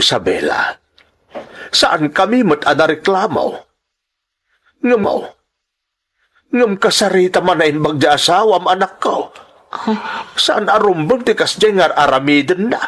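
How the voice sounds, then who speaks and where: A man's voice: a little high, fairly bright tone. A man with an Ilocano accent acting an aggrieved, protective elderly father in an audio drama, grumbling and emotional.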